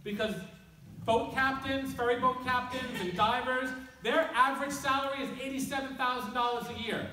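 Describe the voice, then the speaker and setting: A man giving a loud, energetic campaign speech at a community forum, standing away from the mic in a hall. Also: extremely high pitch, normal speed, slight background noise. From Queens, New York. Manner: loud, energetic stump speaking. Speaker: political candidate. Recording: echoey hall, speaker far from mic.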